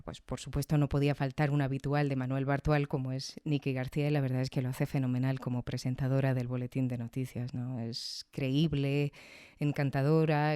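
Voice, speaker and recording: A woman podcaster talks through her review in a reflective, conversational way. Her voice is mellow and smooth, recorded close and dry. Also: low-pitched, dry recording. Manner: reflective, frequent tag questions.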